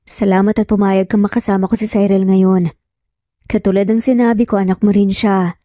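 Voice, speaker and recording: A woman's voice: medium pitch, flat delivery, moderate pace, mellow, dry recording, studio quality, close to an everyday voice. A Filipino woman voicing an earnest, pleading man in love in a romance drama. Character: persistent lovesick man, father, earnest and intense.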